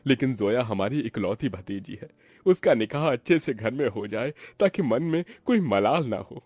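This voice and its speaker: A man's voice: mid-range voice, animated, moderate pace, a little mellow, breathy edge, no room sound. A man voicing a poor, devoted uncle in a cartoon story, earnest and pious while hiding his sorrows. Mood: earnest, burdened. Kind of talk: Hindi cartoon story dialogue.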